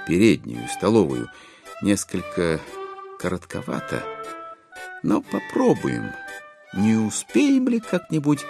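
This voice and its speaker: A man playing a wry author-narrator in an audio drama, phrasing deliberately and expressively with irony. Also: deep voice, full character voice.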